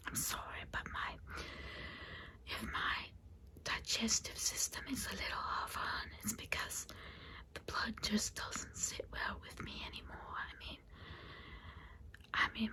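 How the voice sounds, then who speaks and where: A whispering, centuries-weary female vampire acted out by a woman sells her society to a newcomer in an ASMR roleplay. Her voice is bright and crisp in its breath, and she rambles confidingly with folksy, jaded familiarity. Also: fairly high pitch. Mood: aggrieved, confiding. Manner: confiding, folksy, rambling, addressing the listener as darling and hon. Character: jaded, chatty 127-year-old female vampire saleswoman. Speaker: ASMR performer.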